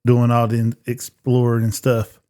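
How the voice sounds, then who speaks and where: Man in casual talk, medium pitch. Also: monotone, moderate pace, mellow. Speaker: podcast co-host. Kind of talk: casual chat.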